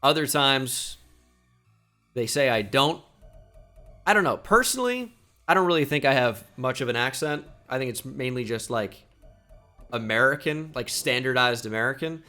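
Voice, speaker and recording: A young man with a slight New Jersey accent gives chatty video game commentary. His tone is bright and forceful. He muses aloud and drops self-deprecating asides, with faint music playing behind him. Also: medium pitch, expressive, moderate pace, long pauses. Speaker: gaming YouTuber.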